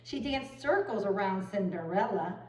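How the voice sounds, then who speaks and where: A woman storyteller reading a children's picture book aloud in an expressive narrating style. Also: medium pitch, moderate pace. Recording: roomy echo, far from the mic.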